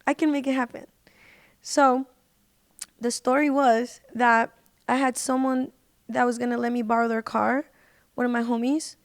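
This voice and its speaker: A woman's voice: on the high side, level tone, normal speed, pauses often, no room sound, studio quality. A woman telling personal stories casually as a podcast guest, speaking in an informal, slangy way and repeating words for emphasis. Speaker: podcast guest, independent singer. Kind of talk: casual personal storytelling in an interview.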